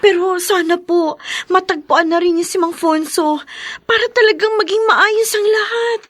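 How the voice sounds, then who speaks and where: An actress playing a concerned, excitable young friend in a Tagalog radio drama, with a Filipino accent. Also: female voice, very high voice, moderate pace, dry recording.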